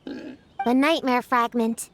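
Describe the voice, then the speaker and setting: A woman voicing a tiny, excitable floating companion sprite who chatters brightly and calls herself by name. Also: on the high side, takes it slowly, slight background noise. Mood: chirpy. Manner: chirpy, speaks of herself by name. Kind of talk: video game voice acting.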